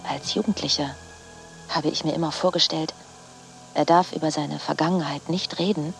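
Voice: mid-range voice, steady tone, moderate pace, gravelly, soft background music, natural voice. An actor playing a grown stepchild who looks back reflectively in a radio drama interview.

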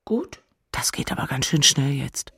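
A woman's voice: rumbling low, sing-song, measured pace, creaky, bright, forceful tone, a little hiss or hum, exaggerated voice. An actress playing an emotional, lovestruck single woman in Berlin in a German radio play, anxious and exalted. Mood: surprised, flustered.

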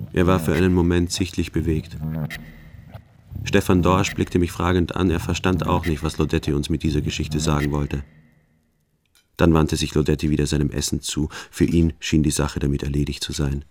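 An actor playing a sober traveller who narrates a radio play in the first person, measured and deadpan. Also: male voice, a bass voice, slight background noise. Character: sober male narrator, a traveller recalling an odd encounter.

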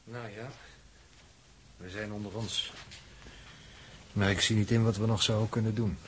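Fatalistic space crewman, low, solemn, measured; noisy early-sixties radio drama. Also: fairly even intonation, fairly bright tone. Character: grave, resigned male spaceship crewman, grandly fatalistic. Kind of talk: 1960s Dutch radio drama acting.

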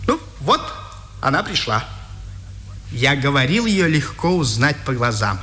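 An actor playing a brisk, courteous newspaper editor in a 1950s radio drama. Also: male voice, mid-range voice, sing-song, bright, forceful tone, a little hiss or hum. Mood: businesslike, kindly.

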